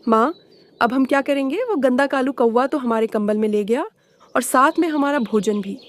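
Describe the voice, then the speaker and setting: A woman's voice: high-pitched, moderate pace, a little hiss or hum, lightly acted. A woman voicing a small, innocent but defiant child sparrow in a cartoon, with a childlike manner. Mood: upset. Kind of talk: Hindi moral cartoon voice acting.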